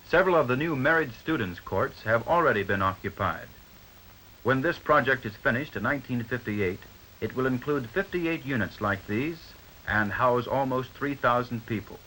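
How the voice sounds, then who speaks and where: A bright, forceful American male announcer reads a 1950s newsreel script. His delivery is formal and measured, at a medium pitch. The old soundtrack carries faint noise behind his voice. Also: poor audio. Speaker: newsreel narrator.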